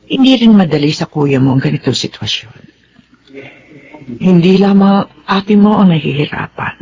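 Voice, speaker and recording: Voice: medium pitch, animated, normal speed, a little mellow, muffled recording, slight background noise, close to an everyday voice. An actor with a Filipino accent playing a concerned, firm parent in a radio drama.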